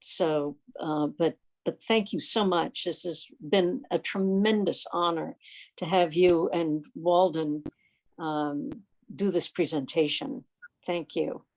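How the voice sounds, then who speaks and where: A woman's voice: low-pitched, steady tone, slow, a little mellow. A woman hosting an online club meeting, speaking off the cuff in an effusive way with hesitant phrasing. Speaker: meeting host. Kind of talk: unscripted club meeting talk on a video call. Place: remote video call.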